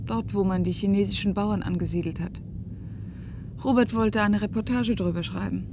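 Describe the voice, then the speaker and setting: Actress as earnest woman amid clear background noise. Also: mid-range voice, fairly even intonation, normal speed, natural voice. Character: earnest, tense woman fugitive aboard an aircraft. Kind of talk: German radio play acting.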